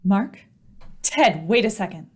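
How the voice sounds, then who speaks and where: A woman's voice: medium pitch, expressive, takes it slowly, a little mellow, slightly rough. A woman acting a worried, evasive wife in an old-time radio mystery. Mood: anxious, pleading. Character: worried, evasive young wife pleading with her husband.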